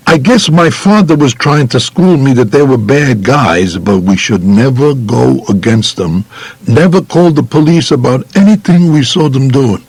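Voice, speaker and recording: Man acting as tough Brooklyn mobster, audiobook memoir, blunt, colloquial, raised animated pitch, husky, dry sound. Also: measured pace, no echo, rough recording. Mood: reflective, emphatic. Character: tough streetwise Brooklyn mobster recalling his boyhood.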